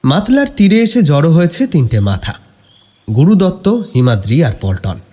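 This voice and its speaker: A man with a husky voice narrating a scripted horror story in a dramatic way. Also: a little high, big pitch swings, moderate pace, dark tone.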